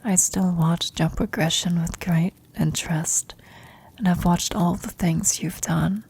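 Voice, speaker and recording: A dying old adventurer , played by a woman, speaks in a very husky, breathy whisper. She is tender and serene with her former lover in this ASMR roleplay, taking her time over each reflective phrase. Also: on the low side, steady tone, a little slow, a little mellow, exaggerated voice. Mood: proud, loving.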